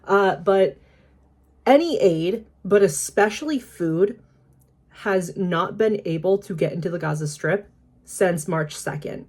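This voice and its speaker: A young woman with a hoarse voice, still recovering from being sick, sitting low in her range. She talks bluntly and casually, swearing freely and laughing often as she chats to her viewers. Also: takes it slowly, a little mellow. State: hoarse from illness. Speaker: YouTuber. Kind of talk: casual unboxing vlog with urgent appeal.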